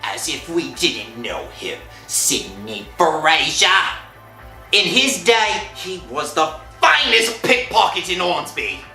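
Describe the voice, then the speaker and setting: A man playing a gruff, suspicious, long-winded police constable in a 1960s radio drama. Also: a little high, very animated, takes it slowly, sharp tone, faint music behind, heavily acted. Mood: suspicious, grumbling. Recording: old radio recording, echoey.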